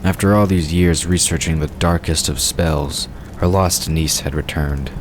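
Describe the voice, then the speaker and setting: A man's voice: extremely low pitch, fairly even intonation, measured pace, background noise. A man narrating a scripted fiction story as the narrator of an audio drama.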